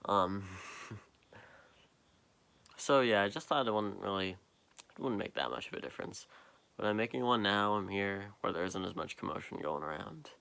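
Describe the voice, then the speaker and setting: Young man, deep gravelly voice, bright edge, tired, halting, late-night vlog, phone mic. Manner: tired, halting, lots of fillers and trailing off. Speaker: vlogger. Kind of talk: rambling late-night monologue. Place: quiet basement at night. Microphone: phone propped up nearby.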